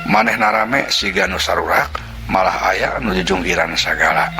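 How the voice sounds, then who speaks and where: A man's voice: deep voice, brisk, creaky. A man with a Sundanese accent narrating a folk tale vividly, voicing its sound effects himself. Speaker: storyteller. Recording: narration over loud music.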